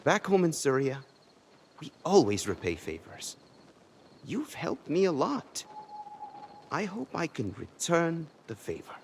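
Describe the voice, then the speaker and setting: A man voicing a friendly, encouraging Syrian craftsman in a video game, effusive and warm. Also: a little high, wildly swooping pitch, a little slow, creaky, long pauses, a little hiss or hum, exaggerated voice. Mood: warm, grateful. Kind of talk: video game character dialogue.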